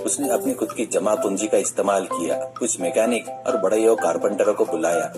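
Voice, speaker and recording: A man's voice: fairly low pitch, brisk. A man reading a scripted story as narrator. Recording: music bed under the voice. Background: music.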